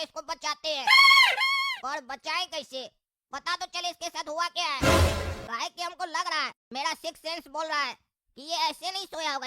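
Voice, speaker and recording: A woman voicing a scheming cartoon man who mutters quietly to himself. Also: sits high, lightly acted. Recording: noisy recording with clicks.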